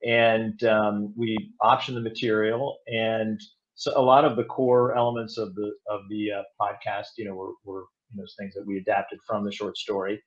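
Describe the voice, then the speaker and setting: Male podcast guest, lowish pitch, halting conversational answers, slightly muffled audio. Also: little pitch movement. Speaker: guest, audio drama producer. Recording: slightly dull, band-limited sound.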